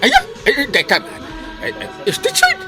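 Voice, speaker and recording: An actor playing a flirtatious, tipsy village captain in a comedy radio drama, giggling and stammering through exclamations. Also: male voice, extremely high pitch, huge pitch swings, a little slow, heavily acted. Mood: giddy, flirtatious. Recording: music playing behind the voice.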